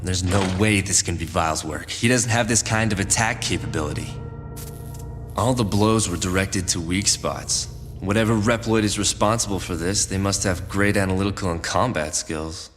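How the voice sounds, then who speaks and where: An actor playing a cool-headed, analytical robot warrior in a video game cutscene. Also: male voice, low-pitched, moderate pace, lightly acted. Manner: calm, analytical. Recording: game audio with reverb and music.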